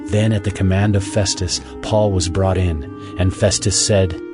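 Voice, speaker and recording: A man's voice: deep voice, steady tone, measured pace, over music. A man narrating scripture as the storyteller of a dramatized audio Bible.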